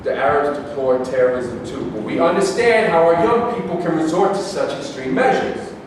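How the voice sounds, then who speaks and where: A man acting a defiant, argumentative advocate in a staged reading. The voice is slightly high and declamatory, pressing each point with emphasis. It is heard from a distance in a reverberant hall with some background noise. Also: animated, normal speed, lightly acted. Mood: grimly insistent. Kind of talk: staged reading of a two-man debate play. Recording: echoey hall from far off, noisy.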